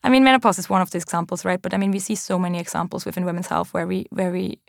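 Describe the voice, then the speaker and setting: A woman's voice: lowish voice, rapid-fire, gravelly, dry recording, clean studio sound. A woman in her late twenties with a German accent, a company founder answering interview questions earnestly, with frequent ums and restarts. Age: 20s.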